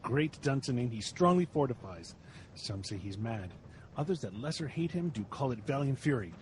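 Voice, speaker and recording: A man's voice: very animated, moderate pace, natural voice. A man playing a sober, well-informed rebel nobleman in a Shakespearean radio drama. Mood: sober, disdainful. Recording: noisy recording with low rumble.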